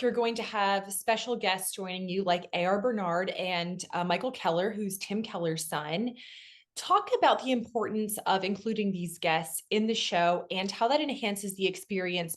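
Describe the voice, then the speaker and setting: A woman's voice: medium pitch, normal speed. A woman interviewing a guest, asking questions in an engaged, affirming way.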